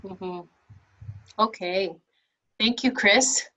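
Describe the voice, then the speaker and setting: A woman's voice: mid-range voice, drawn-out words, sharp tone. A woman facilitating a group discussion, encouraging and affirming each person who shares. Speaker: meeting facilitator.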